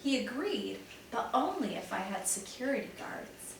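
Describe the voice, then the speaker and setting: A woman's voice: fairly high pitch, very animated, moderate pace, vocal fry, a little hiss or hum. A woman reading a earnest young girl. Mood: explaining. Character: polite girl who kept a secret. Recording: echoey room, mic at a distance.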